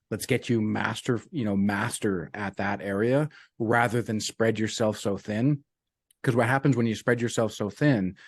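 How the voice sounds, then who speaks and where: A man's voice: lowish voice, no room sound, studio quality. A man talking as a coach guest in a casual interview, earnest, repeating his key sayings and dropping "man" and "you know" as he goes. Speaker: business and life coach guest.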